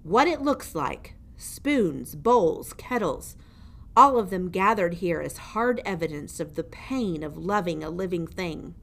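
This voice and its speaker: Woman as a grim old jailer, loud and ominous. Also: fairly low pitch, lively intonation, measured pace, vocal fry, exaggerated voice. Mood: bitter. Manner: ominous, emphatic, refers to himself in third person. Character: grim, theatrical old male dungeon jailer. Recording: noticeable background noise.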